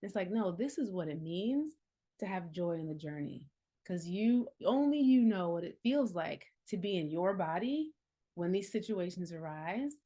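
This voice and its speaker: A woman's voice: on the low side, animated, normal speed, warm tone. A woman in her forties, an herbalist and healing coach, talking casually and earnestly with frequent laughs and many fillers. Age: 40s. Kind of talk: casual reflective talk.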